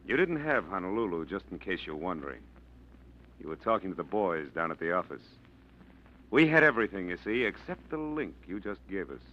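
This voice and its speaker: A tough, cold FBI agent. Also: male voice, sits low, sing-song, a little fast. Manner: clipped, commanding, tough-guy talk. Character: hard-bitten male FBI agent, blunt and cold. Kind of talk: 1940s radio acting. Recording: dull lo-fi old radio broadcast.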